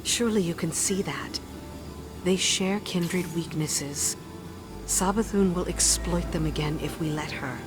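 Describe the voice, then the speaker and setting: Woman acting a hard no-nonsense army commander in a game, deep for a woman, loud music behind her. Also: a little slow, close to an everyday voice. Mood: grave, weary. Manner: firm, clipped, severe. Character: stern female military commander, guarded and unyielding. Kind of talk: video game dialogue acting.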